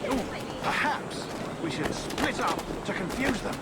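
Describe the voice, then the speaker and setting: A man playing a polite, timid but eager English gentleman merchant in a video game, genteel and hesitant. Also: high-pitched, lively intonation, unhurried, heavy background noise. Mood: nervous, eager. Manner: genteel, hesitant, mild-mannered. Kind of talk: video game dialogue acting.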